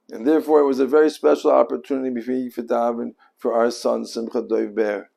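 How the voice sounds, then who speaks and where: An older man telling a personal religious story in an earnest, devout way with deliberate phrasing. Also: medium pitch, gravelly, warm tone.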